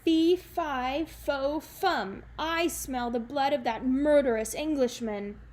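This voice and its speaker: A woman reading aloud and voicing a huge, gnarled male giant who bellows slow, cruel threats. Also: high-pitched, darkish tone, pitched above the performer's own voice. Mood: menacing.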